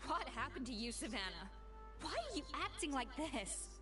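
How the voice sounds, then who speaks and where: A woman voicing a proud, composed, exacting master chef with a sharp tongue in a video game. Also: sits high, sing-song, normal speed, distant mic, over music. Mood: indignant, scolding. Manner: dignified, formal, exacting.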